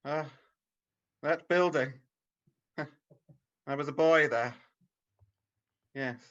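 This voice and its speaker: A woman's voice: deep voice, very slow. A woman playing a frightened, bewildered old miser in a radio play, stammering and hesitant. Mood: wistful amazement. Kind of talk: radio play rehearsed reading over video call. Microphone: video call. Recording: dull, lo-fi video call audio.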